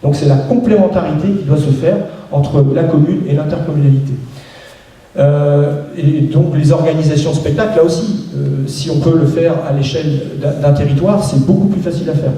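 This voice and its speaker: A man with a dark, mellow voice, pitched a little high for a man, gives a local campaign speech. He halts and restarts his phrases often. He is heard from a distance in a very echoey room. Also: fairly even intonation, normal speed. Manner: hesitant, many restarts and fillers. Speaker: local election candidate.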